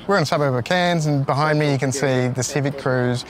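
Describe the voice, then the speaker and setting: A man's voice: medium pitch, brisk, a crowd in the background. A man, a company manager speaking confidently outdoors at a work site.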